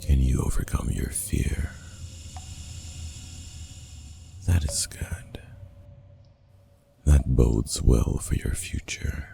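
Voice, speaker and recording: A man playing a stern, possessive ruler of an underground city in a fantasy ASMR roleplay, speaking formally in a gravelly, hushed half-whisper. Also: extremely low pitch, full character voice. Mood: calm, commanding. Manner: formal, archaic, commanding, measured.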